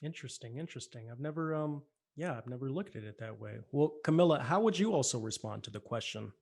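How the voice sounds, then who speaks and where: A man's voice: medium pitch, expressive, quick pace, creaky, darkish tone. A man hosting a podcast interview, politely thanking guests and asking them questions. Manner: polite, encouraging, asks questions. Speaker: podcast host.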